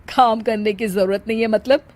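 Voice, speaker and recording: A woman's voice: on the high side, steady tone, moderate pace, darkish tone, close to an everyday voice. A woman playing a weary, grumbling older mother-in-law in a cartoon moral story, complaining and sardonic but caring. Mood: surprised, sardonic. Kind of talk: animated moral story dialogue.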